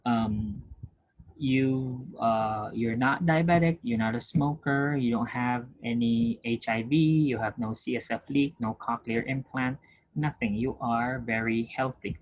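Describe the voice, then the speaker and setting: A man teaching a nursing class, explaining step by step, often checking that students follow and clearing his throat. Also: mid-range voice, measured pace, narrow, tinny audio. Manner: patient, repetitive, checks understanding with questions; frequent throat clearing and restarts. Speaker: nursing instructor. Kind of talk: recorded lecture over screen share.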